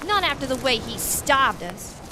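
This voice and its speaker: A woman's voice: sits high, very animated, normal speed. An actress playing a hard-bitten, bossy, brash female crook with slangy speech in an old-time radio drama. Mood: bossy irritated. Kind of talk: 1940s radio drama acting. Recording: clear background noise.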